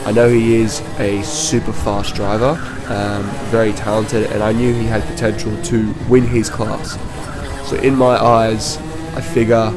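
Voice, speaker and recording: A man with a slightly hoarse, worn voice casually talks through footage of his kart race, rambling with lots of ums and restarts. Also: fairly low pitch. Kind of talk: casual commentary over race video.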